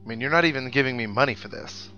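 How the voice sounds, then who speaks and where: A man gives casual, wry commentary on a video game he is playing. He tosses in joking asides as he goes, and game music plays clearly behind his voice. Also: medium pitch, rapid-fire, pushed and bright, highs cut off. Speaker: video game let's player, host. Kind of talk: casual game commentary, reading dialogue aloud.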